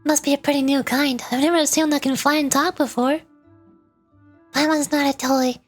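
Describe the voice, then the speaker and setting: A woman's voice: sits high, fairly even intonation, normal speed. A woman streamer playfully reading game dialogue aloud and switching voices for the characters, with faint game music behind.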